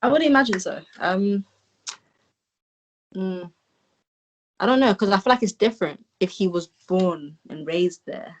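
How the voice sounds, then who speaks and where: A woman, a podcast guest, speaking hesitantly and often trailing off into a quieter voice. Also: mid-range voice, measured pace, long pauses, rough recording. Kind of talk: casual conversational interview.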